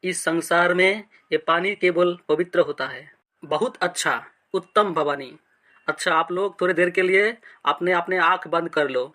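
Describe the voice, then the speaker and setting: A man's voice: sits high, moderate pace, sharp tone. A man playing a pompous fake guru in a cartoon comedy, speaking in solemn blessings and chants. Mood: pompous, pious. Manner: pompous, chanting, repeating devotional catchphrases. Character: fake holy man, pompous male guru feigning meditation.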